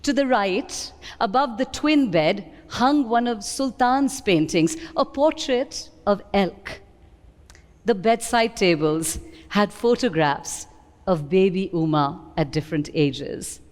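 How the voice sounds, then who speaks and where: A woman with an Indian accent giving an expressive, deliberate dramatic reading of literary prose. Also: mid-range voice. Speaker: reader at a book event. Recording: long hall reverb.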